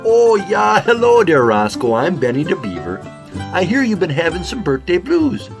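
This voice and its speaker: A man's voice: sits high, wildly swooping pitch, moderate pace, music in the background, full character voice. Man doing a folksy, swooping beaver. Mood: folksy, friendly. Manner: folksy, repeats "oh yeah" and "hey". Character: friendly, folksy beaver from up north. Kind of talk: children's audio drama.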